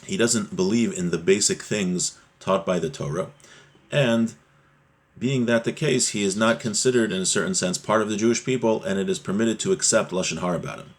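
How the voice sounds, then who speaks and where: A man with a fairly low voice teaches a religious text. He reads short Hebrew phrases aloud, then translates and explains them phrase by phrase in the manner of a teacher. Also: brisk.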